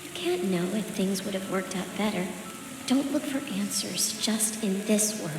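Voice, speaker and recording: A woman's voice: mid-range voice, normal speed, close to an everyday voice. An actress playing a devout, consoling woman in a video game, solemn and preachy in delivery. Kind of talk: dramatic video game dialogue. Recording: echoey reverberant hall with rain noise.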